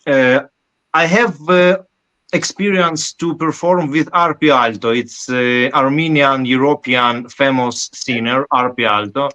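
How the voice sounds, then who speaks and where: Bright-voiced Ukrainian man, halting English. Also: mid-range voice. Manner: halting non-native English with many pauses. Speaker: guest musician. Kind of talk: interview answers.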